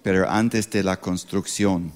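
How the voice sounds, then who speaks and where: A man in his sixties with an American accent, a non-native speaker with English as first language, preaching informally as a missionary in halting speech with grammatical slips. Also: sits low, steady tone, darkish tone. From Pittsburgh, United States. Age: 60s. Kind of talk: sermon with informal introduction.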